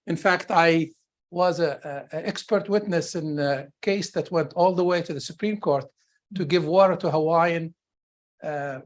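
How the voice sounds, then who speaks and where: Halting seminar host, medium pitch. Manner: halting, repetitive, word-searching delivery. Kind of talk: informal seminar address.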